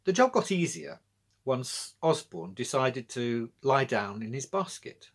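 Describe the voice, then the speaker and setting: A man's voice: medium pitch, very animated, unhurried. A middle-aged British man narrating a children's story as a storyteller. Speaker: author and storyteller. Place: small indoor room with bookshelves.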